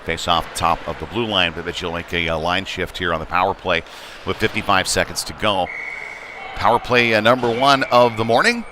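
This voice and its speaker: Man calling hockey over clear rink noise. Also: deep voice. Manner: halting, folksy, conversational. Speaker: play-by-play commentator. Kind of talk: live youth hockey commentary. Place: indoor ice rink during a game. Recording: noisy arena, clear rink ambience behind.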